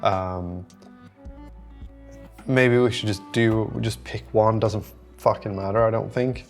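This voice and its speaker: A man's voice: lowish voice, fairly even intonation, slow pace, faint music behind. A man talking casually in a vlog, thinking aloud in a rambling, reflective way and often trailing off mid-thought. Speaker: app developer documenting his work on a vlog.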